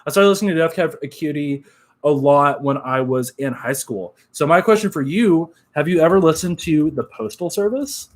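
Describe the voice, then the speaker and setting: A man's voice: fairly high pitch, normal speed. Nasal young man chatting on a stream. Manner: relaxed, upbeat, many fillers and "like". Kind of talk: casual live-stream chat reading comments.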